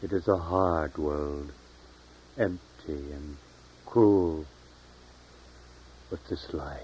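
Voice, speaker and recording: Very slow, deep-voiced man reading poetry. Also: a little mellow, breathy edge. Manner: measured, deliberate phrasing with long pauses. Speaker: poet reading own work. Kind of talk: poetry reading, solemn and unhurried. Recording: dull lo-fi 1970s tape recording.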